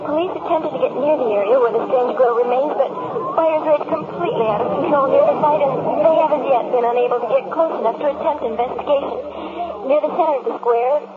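An actor playing a horrified news reporter in a 1970s radio drama, giving a dramatic, halting eyewitness account over a field transmission. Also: high voice, narrow, tinny audio. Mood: shaken, grim. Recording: noisy, band-limited vintage radio broadcast.

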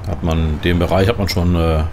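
A man from Germany giving relaxed, conversational commentary in character while playing a truck driving game. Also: low-pitched, normal speed. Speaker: gaming channel host. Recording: clear engine and vehicle noise behind. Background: truck simulator game sound.

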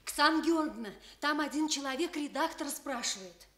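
A woman's voice: high voice, moderate pace, a bit bright. An actress in a Soviet radio play voicing a deferential young female office assistant, on a noisy old recording. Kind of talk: Soviet radio theatre acting.

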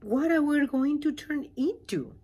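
A woman voicing an eager, naive young male pollywog in a children's storybook, excitable and exclaiming. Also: high voice, a little slow, voice pushed up. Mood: curious, eager.

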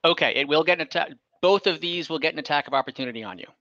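A young man acting as dungeon master on a video call, easygoing and quick to wave off rule slips. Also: on the high side, sharp tone, dry recording. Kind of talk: running an online tabletop game. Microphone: headset on a video call. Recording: dull lo-fi video call audio.

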